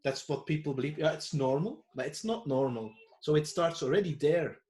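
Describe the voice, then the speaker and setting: A Belgian man with a Flemish accent hosts the conversation in his second language, English. His voice sits a bit high, and he speaks haltingly, restarting phrases and tagging "eh?" onto them. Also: a little mellow. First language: Dutch. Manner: hesitant, halting, tag "eh?" fillers. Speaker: podcast host. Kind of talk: conversational interview.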